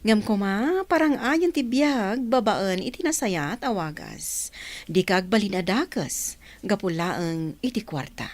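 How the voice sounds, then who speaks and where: A woman serves as narrator of a radio drama. She reads very slowly and deliberately, with sweeping intonation in a mid-pitched voice. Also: expressive. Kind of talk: scripted narration, reflective radio drama outro with credits.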